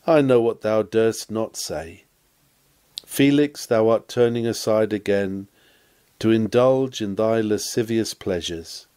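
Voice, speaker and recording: A man's voice: fairly low pitch, warm tone, clean tone. Man declaiming an old-fashioned sermon. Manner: declamatory, exhortatory, solemn, rhetorical questions. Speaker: reader of a historic sermon. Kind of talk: dramatic sermon reading, archaic oratory.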